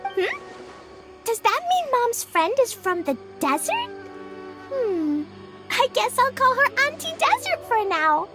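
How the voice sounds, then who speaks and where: A woman voicing a cheerful, innocent, eager little girl knight with a childlike, squeaky voice in a video game. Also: very animated, takes it slowly, heavily acted. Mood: musing. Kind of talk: video game cutscene acting. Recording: music behind the voice.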